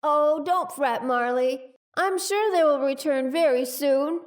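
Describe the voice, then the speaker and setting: A woman's voice: high-pitched, slow, far from the mic. A woman playing an eerily polite, composed female spirit in an audio drama, with formal, soothing phrasing. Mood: eerily calm.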